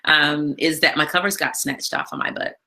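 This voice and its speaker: A woman hosting a live stream from home, chatting casually with lots of fillers and a big, loud voice. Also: low voice, big pitch swings, moderate pace, vocal fry. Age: adult with a daughter. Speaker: live stream show host. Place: home, not her usual studio.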